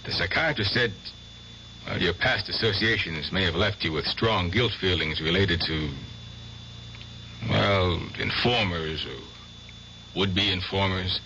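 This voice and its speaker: A persistent young doctor in a radio play, with a very low voice. He speaks carefully and earnestly, hesitating as he tries to conciliate, on a dull old recording with faint noise. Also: unhurried, sharp tone, exaggerated voice. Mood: hesitant, earnest. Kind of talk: radio drama acting.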